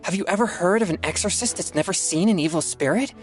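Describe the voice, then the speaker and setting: A man's voice: high-pitched, quick pace, faint music behind, close to an everyday voice. A man voicing an earnest, polite and modest teenage boy exorcist in a video game, with a hesitant manner. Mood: disappointed. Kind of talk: video game character acting.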